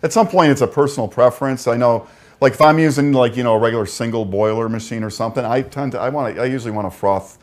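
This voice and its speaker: A man's voice: medium pitch, fast, darkish tone. A man co-hosting a live stream, chatting casually about coffee machines and pushing a sale with easy laughs. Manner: easygoing, laughs often, pushes the sale. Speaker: co-host of live product stream.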